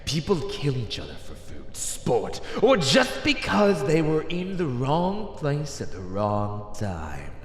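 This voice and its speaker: An arrogant, cynical fantasy villain, played by a man, delivers a condescending roleplay monologue. He takes his time, stretching words out with mocking relish. His pitch swings widely from line to line, and long reverb hangs around the voice. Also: slight background noise. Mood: scornful. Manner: mocking, condescending, theatrical, drawn-out emphasis.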